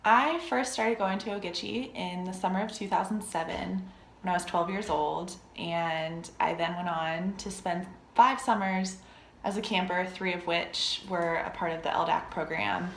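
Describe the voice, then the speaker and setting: 22 yo woman, low and gravelly, echoey room, bit of background hum. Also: fairly even intonation, normal speed. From United States. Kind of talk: casual self-introduction.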